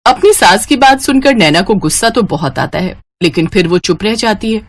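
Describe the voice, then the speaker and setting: A woman narrating an animated family storybook in an even, storytelling way. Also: medium pitch, normal speed.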